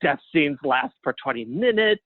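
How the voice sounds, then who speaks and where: A man being interviewed as a guest over a phone line, talking casually and a little haltingly, with a self-effacing manner. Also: a little high, big pitch swings, no echo. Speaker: interview guest, playwright and librettist. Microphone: phone or call line. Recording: thin phone-line sound.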